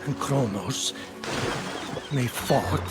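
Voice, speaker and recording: An actor playing a wry, long-suffering titan storyteller in a video game, with measured, dry delivery. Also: male voice, medium pitch, loud music behind the voice. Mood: grim, teasing.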